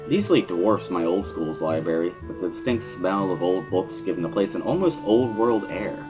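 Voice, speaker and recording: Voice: low voice, animated, normal speed, over music, low-quality recording. A storyteller giving a dramatic reading of a first-person story as narrator.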